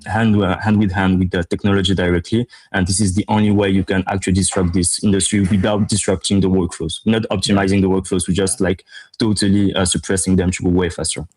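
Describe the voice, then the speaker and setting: A man from Morocco speaking as a startup co-founder in an interview, pitching his business conversationally with emphatic repetition. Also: flat delivery, fast talker, a little mellow.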